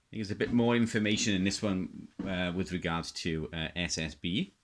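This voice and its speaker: A middle-aged man with a low-pitched voice talks through things in an off-the-cuff way. He sounds like a hobbyist showing items one by one, pausing to muse and often repeating his phrases. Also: fairly even intonation. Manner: halting, musing, repeats himself. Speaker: hobbyist presenter. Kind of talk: casual unscripted show-and-tell commentary.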